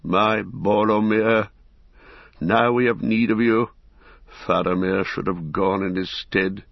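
A man's voice: fairly low pitch, a little slow, no room sound. A man voicing a grim old lord. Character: grim, proud old male lord in grief. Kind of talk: audiobook character voice. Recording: dry, dull lo-fi recording.

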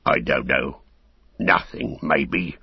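Man as a big gravelly orc soldier, menacing. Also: rumbling low, slow pace, no echo, lo-fi sound, full character voice. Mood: gruff, surly. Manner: threatening, snarling.

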